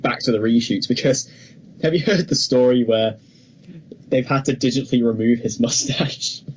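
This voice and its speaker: A man's voice: mid-range voice, normal speed, darkish tone, slight background noise. A man co-hosting a podcast, chatting casually and giving his opinions emphatically. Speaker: podcast co-host. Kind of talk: casual film news chat.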